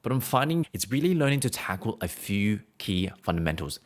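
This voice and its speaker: A man's voice: fairly low pitch, very animated, fairly quick. A young man teaching singing to camera, explaining in an earnest way with frequent fillers.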